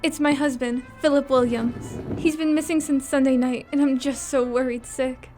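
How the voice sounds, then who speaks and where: A woman's voice: high voice, steady tone, normal speed, warm tone, soft background music, natural voice. A woman playing a pleading 1940s femme fatale in a noir radio drama. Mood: anxious.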